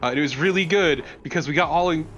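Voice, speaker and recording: A man's voice: on the high side, quick pace, a bit bright, muffled recording, soft background music. A man co-commentating on a let's play in casual banter, wry and often swearing.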